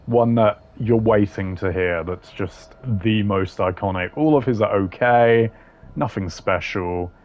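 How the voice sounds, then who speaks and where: A man with a fairly low voice ranks game lines in casual commentary. He is blunt and opinionated, using plenty of slang as he passes judgement. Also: unhurried, darkish tone. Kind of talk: casual tier-list commentary.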